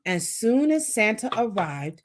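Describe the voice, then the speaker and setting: A woman's voice: a little high, measured pace. A woman reading a children's story aloud expressively, acting out the dialogue as she goes. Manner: animated, acts out dialogue. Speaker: storytime reader.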